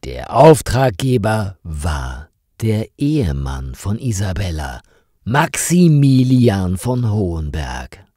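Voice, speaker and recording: Slow, deep-voiced male story narrator. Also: big pitch swings, mellow, no room sound, clean studio sound. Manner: clear, deliberate storytelling delivery. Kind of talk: slow scripted story narration for language learners.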